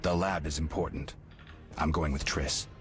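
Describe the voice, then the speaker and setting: An actor playing a stoic, gruff swordsman in a fantasy video game, speaking tersely in a low voice. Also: faint music behind. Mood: serious, terse. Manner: terse, flat, unhurried. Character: stoic gruff adult male monster-hunting swordsman.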